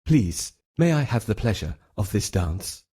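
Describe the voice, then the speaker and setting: A man's voice: on the low side, very animated, measured pace, warm tone, slightly rough, dry recording, clean studio sound, exaggerated voice. A man playing an earnest, lovestruck young prince in a children's storybook, speaking in a declamatory, theatrical way. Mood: courtly. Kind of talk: children's storybook character acting.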